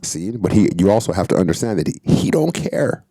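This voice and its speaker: A man's voice: fairly low pitch, very animated, brisk, mellow, breathy edge, no echo. A man hosting a podcast, reacting to video clips in casual, slangy commentary and hyping up the speakers. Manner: hyped, interjecting, slangy.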